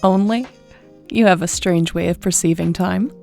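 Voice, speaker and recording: A woman playing a low, creaky-voiced wry detective's assistant. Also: normal speed, a little mellow. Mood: wry, casual. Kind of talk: audio drama dialogue. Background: background music.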